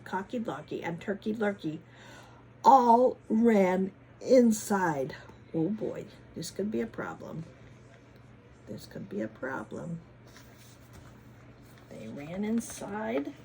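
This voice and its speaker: A woman reading a picture book aloud to children in a teacherly way, pausing to ask them questions and adding dramatic emphasis. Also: mid-range voice, mellow, a little hiss or hum. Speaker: children's story reader, former teacher. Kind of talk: animated picture-book read-aloud with chatty asides to children.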